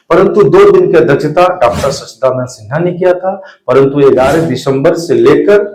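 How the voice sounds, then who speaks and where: A man's voice: mid-range voice, darkish tone, slight background noise. A man presents an explainer to viewers in an emphatic, didactic way, addressing them directly. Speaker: video presenter. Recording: echoey room.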